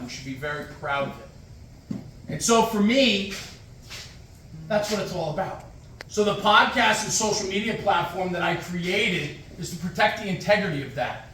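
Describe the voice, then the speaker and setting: Middle-aged man, high-pitched, punchy emphatic speech, booming hall echo, distant mic. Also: fairly bright tone, slight background noise. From United States. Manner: emphatic, repetitive, punchy short phrases. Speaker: guest speaker at a fire department event. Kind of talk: motivational speech. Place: large echoey hall with an audience.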